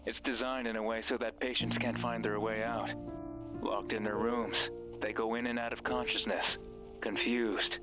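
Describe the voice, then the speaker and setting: A man's voice: mid-range voice, flat delivery, moderate pace, over loud music, lightly acted. An actor playing a mysterious, bossy male guide in a horror game, stern, ominous and condescending. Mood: ominous, commanding. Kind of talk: horror visual novel voice acting.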